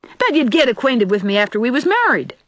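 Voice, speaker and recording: A man's voice: extremely high pitch, big pitch swings, fairly quick, fairly bright tone, dry recording, voice pushed up, heavily acted. A woman voicing a solemn, stubborn young farmhand with abrupt, folksy rustic speech in an audiobook. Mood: stubborn, persuasive. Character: lanky, solemn young country farmhand, blunt and stubborn suitor. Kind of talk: audiobook character voice.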